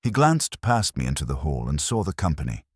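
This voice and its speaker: A man reading detective fiction in the first person as a narrator, with wry, measured storytelling. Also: a bass voice, big pitch swings, slightly rough, no room sound, clean studio sound. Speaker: audiobook narrator.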